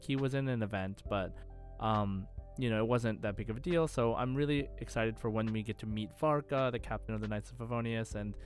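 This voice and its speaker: Male podcast host, mid-range voice, casual, rambling, hesitant, faint music behind. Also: fairly low pitch. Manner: hesitant, rambling, heavy use of "like". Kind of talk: casual chat.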